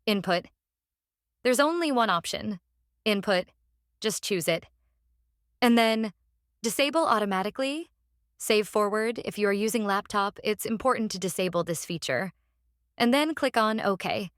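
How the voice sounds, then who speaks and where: A woman hosting a casual step-by-step software tutorial, instructing plainly and often checking in with the listener. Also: medium pitch, animated, normal speed, long pauses, clean tone, dry recording, clean studio sound. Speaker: tutorial host.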